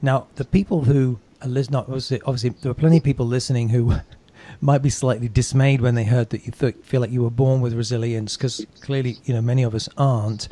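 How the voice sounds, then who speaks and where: A man hosting a podcast, interviewing conversationally and rephrasing his questions as he goes, with softened wording. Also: mid-range voice, steady tone, fairly quick, few pauses.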